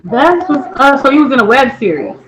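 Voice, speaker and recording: A woman hosting a casual podcast chat, laid-back and quick to laugh. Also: sits high, very animated, noisy background. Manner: laid-back, laughs easily, says y'all. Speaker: podcast host.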